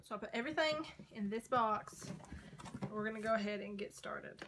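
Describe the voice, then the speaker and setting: A young woman in her twenties rambling casually through a makeup haul, her voice going hoarse. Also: medium pitch, normal speed, fairly bright tone. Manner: chatty, rambling, frequent likes and ums. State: losing her voice. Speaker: beauty vlogger. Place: small bedroom corner by a door.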